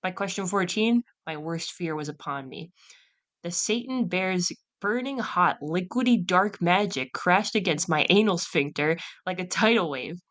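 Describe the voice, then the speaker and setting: A woman's voice: on the low side, expressive, unhurried, fairly bright tone. A woman hosting a solo podcast, reading aloud and chatting in a casual, storytelling way. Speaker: podcast host.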